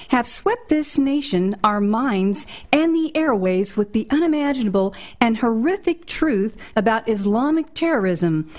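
A woman's voice: fairly high pitch, darkish tone. A woman hosting an interview show, giving a scripted introduction in a dramatic, emphatic way. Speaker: host.

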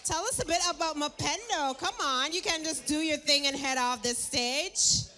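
A woman acting as stage MC, teasing and hyping the crowd in a loud, energetic way. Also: high voice, normal speed.

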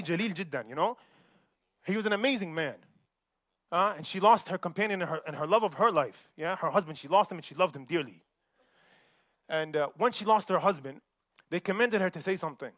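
A young man giving a Friday sermon in a mosque, preaching in an animated way and mixing recited verses with casual asides. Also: a little high, no room sound, clean studio sound. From United States.

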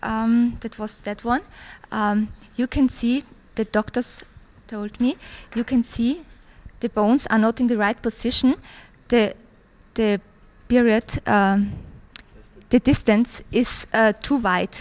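A woman in her thirties from Austria, a native German speaker with an Austrian German accent, giving a hesitant testimony in English with many pauses and fillers. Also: a little high, steady tone, a little mellow. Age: 30s. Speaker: church visitor giving testimony. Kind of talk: interview testimony.

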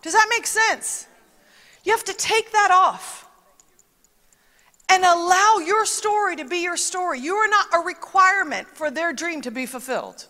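A woman's voice: very high-pitched, lively intonation, a little slow, pushed and bright. A woman preaching a conversational sermon, emphatic and chatty, with asides that quote other people. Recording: long reverb of a large hall.

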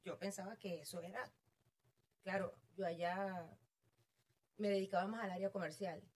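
A middle-aged woman with a Venezuelan accent, a real estate agent guest telling her story in an animated, self-amused way. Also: medium pitch, normal speed. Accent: Venezuelan Spanish. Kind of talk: casual interview chat.

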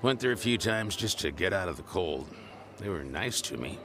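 A man's voice: low voice, expressive, moderate pace, a crowd in the background. An actor playing a laconic, folksy drifter who is down on his luck, in a radio drama. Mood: wry, resigned. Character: low-voiced, laconic, down-on-his-luck boarding-house drifter. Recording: noisy outdoor street scene ambience.

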